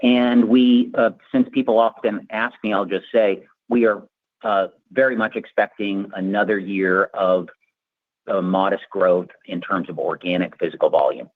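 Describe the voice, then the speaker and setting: Exec guy, really deep voice, dry tinny phone line, explaining stuff on an earnings call. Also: steady tone, moderate pace, no echo. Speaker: company finance executive answering analysts.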